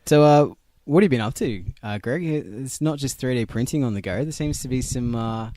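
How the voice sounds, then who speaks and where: A man's voice: medium pitch, lively intonation, moderate pace, mellow, slight background noise. A man with a head cold, sounding nasal and snuffly as he co-hosts a casual podcast chat with wry asides. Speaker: podcast co-host.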